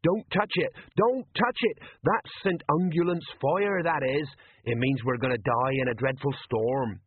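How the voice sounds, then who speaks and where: A man voicing a panicky, exasperated sea captain who moans and protests in excitable colloquial speech, in a storybook reading. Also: medium pitch, measured pace, breathy edge, narrow, tinny audio. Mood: alarmed. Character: panicky, exasperated, moaning male ship's captain.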